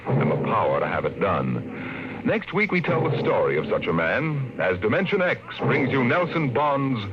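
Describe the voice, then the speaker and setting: A man hosting and narrating a radio drama in a measured, dramatic delivery. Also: mid-range voice, very animated, heavy background noise. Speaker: host and narrator. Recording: dull old radio transcription. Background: orchestral music.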